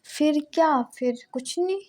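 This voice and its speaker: A woman's voice: high-pitched, a little mellow. A woman playing a shy, evasive young newlywed bride in a casual chat, with hesitant answers. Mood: coy, hesitant. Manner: coy, hesitant, drawn-out answers. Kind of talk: casual phone chat, acted as candid.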